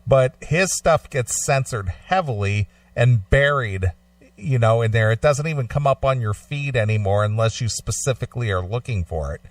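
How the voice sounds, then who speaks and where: A man's voice: mid-range voice, no room sound, far from the mic. Man hosting talk radio, slightly off-mic. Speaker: host of a long-running radio show. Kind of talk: casual talk-show chat.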